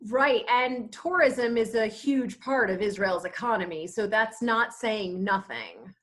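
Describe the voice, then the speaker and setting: A woman hosts an online talk over a video call. Her voice sits high for a woman, and she gives friendly, prepared introductions. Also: measured pace, fairly bright tone. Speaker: event host and moderator.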